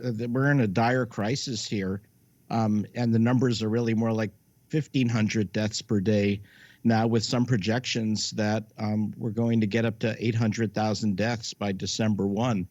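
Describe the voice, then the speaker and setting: Low-voiced American male expert, dull audio. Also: fairly even intonation, normal speed, darkish tone, no echo. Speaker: medical expert guest, professor and dean. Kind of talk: live news interview answers.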